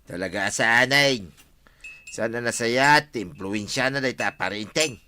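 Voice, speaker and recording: A man's voice: medium pitch, measured pace, bright, forceful tone. A man with an Ilocano accent playing a defensive, henpecked farmer husband in an audio drama.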